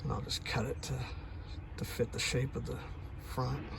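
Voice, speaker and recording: A middle-aged man talking through a DIY job in a casual, halting, plain-spoken way, thinking out loud. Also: mid-range voice, level tone, vocal fry, noisy background. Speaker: truck driver, DIY vlogger.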